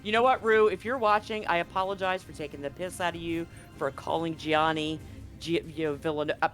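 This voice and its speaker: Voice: mid-range voice, fairly quick, fairly bright tone, faint music behind. A game master running a live tabletop roleplaying game, talking casually and jokily with some swearing.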